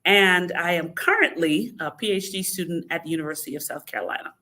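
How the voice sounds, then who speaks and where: A woman from the Bahamas, a podcast guest, speaking in a measured, explanatory way with frequent fillers. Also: lowish voice, pushed and bright. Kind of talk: conversational podcast interview.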